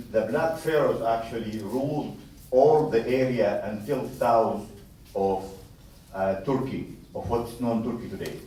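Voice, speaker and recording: A man from Sudan giving an informal opening speech as an association president, pausing often. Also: fairly low pitch, mellow, a little hiss or hum. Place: event hall at an academy. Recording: very echoey hall, distant mic.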